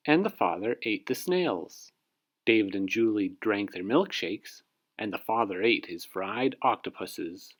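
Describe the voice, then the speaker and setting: A man narrating a children's storybook aloud in an expressive read-aloud style. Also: mid-range voice. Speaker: storybook reader.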